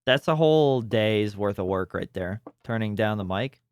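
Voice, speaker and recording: A man's voice: on the low side, expressive, moderate pace, clean tone, dry recording, studio quality. A man streaming a game, chatting casually, joking and swearing, on a slightly overdriven mic. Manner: joking, swearing, playful banter with chat. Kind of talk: casual live gameplay chat.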